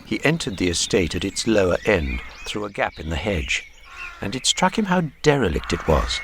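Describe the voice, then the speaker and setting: An actor narrating a radio drama as a literary storyteller, with a measured delivery. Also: male voice, on the low side, sing-song, fairly bright tone, a little hiss or hum. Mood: lyrical.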